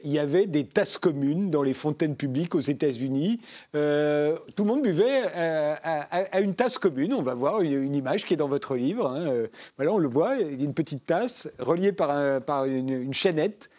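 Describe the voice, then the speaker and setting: A man's voice: a little high, mellow. A man hosting a studio talk show, asking guests questions with chatty asides and small laughs. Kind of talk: studio interview.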